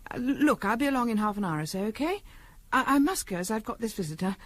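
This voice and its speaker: Flustered, lively high-voiced housewife. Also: female voice, fast talker. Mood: hurried, evasive. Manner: hesitant, stammering, polite. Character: flustered, polite middle-class housewife, anxious and evasive. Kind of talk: 1970s radio drama acting. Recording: noisy old radio recording.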